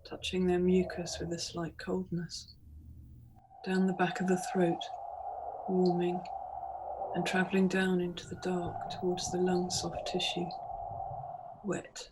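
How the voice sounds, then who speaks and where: A woman leads a guided meditation as scripted, poetic narration. Her pitch ranges very widely as she tells it deliberately and immersively. The recording has clear ambient noise around the voice. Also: completely flat delivery. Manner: deliberate, immersive second-person storytelling. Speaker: meditation guide, multimedia artist.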